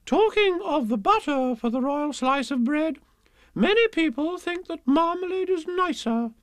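A man voicing a polite, genteel queen, gently coaxing, in a rhyming storybook. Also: very high voice, lively intonation, a little slow, no room sound, pitched above the performer's own voice, exaggerated voice.

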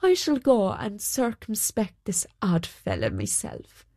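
A woman's voice: mid-range voice, huge pitch swings, unhurried, dark tone, no room sound, studio quality, heavily acted. A woman voicing a pompous but obliging storybook king with a wordy, stately manner. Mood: puzzled, stately. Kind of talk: storybook character voice.

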